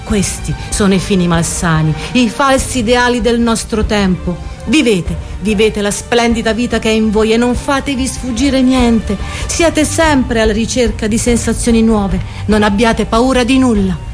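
A woman's voice: fairly high pitch, moderate pace, few pauses, lightly acted. A woman playing a worldly aesthete who urges youth and pleasure, in a declamatory, persuasive literary reading. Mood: urgent, exhorting. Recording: loud music behind the voice.

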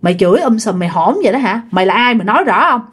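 A woman's voice: mid-range voice, expressive, very fast, slightly rough. A woman playing a fierce older mother who scolds harshly and makes threats. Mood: furious.

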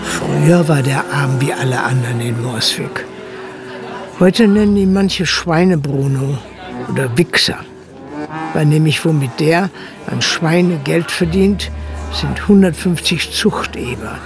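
A gravelly blunt village narrator. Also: male voice, a little high, measured pace, over music. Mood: wry. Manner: blunt, earthy storytelling. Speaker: narrator within the play. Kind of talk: radio play narration.

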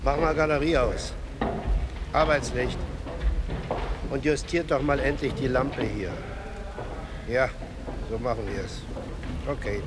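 A male actor as a theatre lighting man in a naturalistic radio play. He grumbles out terse, unhurried instructions in a mid-pitched voice, with clear noise of the stage around him. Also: lightly acted. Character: grumbling theatre lighting technician calling out adjustments.

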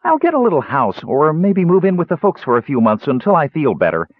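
A man's voice: on the high side, wildly swooping pitch, brisk, dry recording, phone-quality sound, voice pushed up. A man voicing an anxious, exasperated small male Kender adventurer in a fantasy audiobook, pleading and babbling in a flustered way. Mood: weary.

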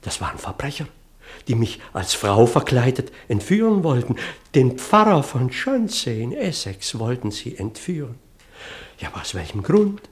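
A man's voice: mid-range voice, very animated, close to an everyday voice. An actor playing a flustered, pedantic parson who tells his dramatic story in in a radio play. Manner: fussy, dramatic, halting when agitated.

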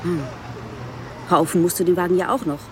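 A woman's voice: fairly low pitch, fairly even intonation, fairly quick, natural voice. An actress in a radio drama playing a police detective of about forty, dry and probing in her questions. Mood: casually probing. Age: around 40. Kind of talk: naturalistic radio drama acting. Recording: clear outdoor car and traffic noise.

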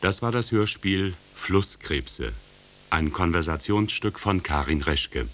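A man reading scripted closing credits as a radio announcer in a formal, measured manner. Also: low voice, expressive, a bit bright, a little hiss or hum.

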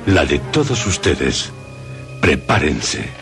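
A man hosting a radio show with dramatic scripted narration, phrased deliberately and theatrically with suspenseful pauses. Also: a bass voice, big pitch swings, gravelly. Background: music.

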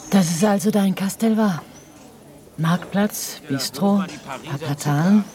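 An actress playing a brisk, wry woman journalist who asks direct questions in a naturalistic radio drama. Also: on the low side, dark tone. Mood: wry, cool. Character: assertive Parisian woman journalist, wry and probing.

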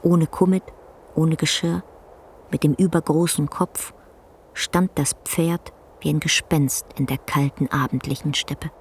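An actress narrating a literary radio play in a measured, solemn way. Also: female voice, deep voice, fairly even intonation, slight background noise. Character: solemn storyteller of an old man and horse.

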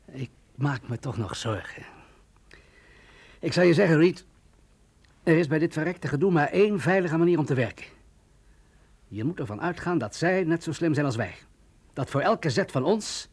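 An actor playing an anxious, cynical security chief in a radio drama, with a nervy, emphatic delivery. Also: male voice, on the high side, husky. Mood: worried, brooding. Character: anxious male security chief, wound tight, cynical strategist.

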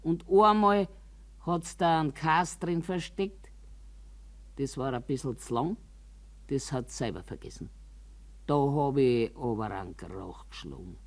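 Slow, grumbling old Bavarian woman. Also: rumbling low, animated, heavily acted. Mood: indignant, recounting. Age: older. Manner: grumbling, emphatic, deliberate. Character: embittered, quarrelsome, anxious old woman in a care home. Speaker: actress in a radio monologue. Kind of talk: dramatic radio monologue in colloquial dialect.

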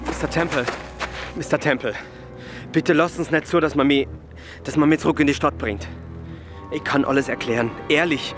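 A nervous man acting as a crook in a radio crime play. He pleads and protests in a somewhat high voice, tripping over and repeating his words. Music plays clearly behind him. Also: steady tone, moderate pace, natural voice. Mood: nervous pleading. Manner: stammering, repeating himself, protesting. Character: nervous, pleading male crook under questioning.

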